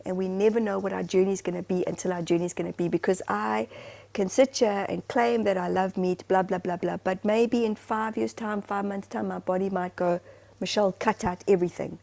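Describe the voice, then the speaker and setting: A woman from Zimbabwe chatting casually on a podcast. Her voice is gravelly and mellow. She rambles in an animated way and restarts her sentences often. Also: mid-range voice, fairly quick. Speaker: podcast co-host. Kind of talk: casual chat.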